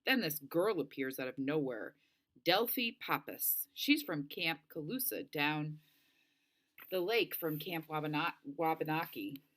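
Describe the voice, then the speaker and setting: Expressive low-voiced woman reading a story. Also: a little slow. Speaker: audiobook-style reader. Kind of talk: read-aloud narration of a novel.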